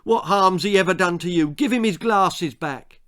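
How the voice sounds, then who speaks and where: A man voicing a bold, indignant schoolgirl who scolds forcefully, in a children's storybook reading. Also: high voice, normal speed, pitched above the performer's own voice.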